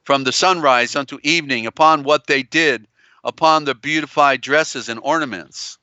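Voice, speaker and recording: Male stream host, bright clear tone gone strained and tired, halting and rambling, dry slightly dull audio. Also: fairly high pitch, dry recording. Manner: halting, meandering delivery with many restarts. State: strained, tiring voice. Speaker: live show host. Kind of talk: live-stream lecture on old scriptures.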